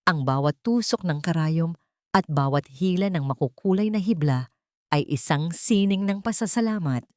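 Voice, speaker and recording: Female audio drama narrator, lowish register, measured sentimental storytelling, dry recording. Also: lively intonation, a little mellow, no echo.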